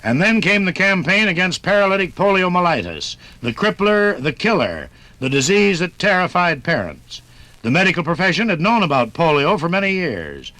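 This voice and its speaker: A man's voice: high voice, sing-song, normal speed, bright, forceful tone, dry recording, poor audio. A man narrating and announcing in a polished, declamatory 1950s radio style.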